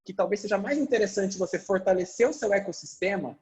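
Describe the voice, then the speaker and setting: A man's voice: sits high, fast, a little mellow. A man speaking as a panelist over a video call, explaining animatedly with frequent fillers. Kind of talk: webinar panel discussion.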